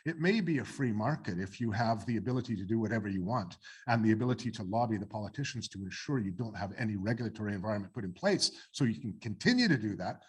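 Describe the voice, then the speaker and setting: A man's voice: on the low side, breathy edge. A man speaking on a panel discussion, opinionated and joking with the audience, with many restarts. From Canada. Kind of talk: panel discussion answering questions.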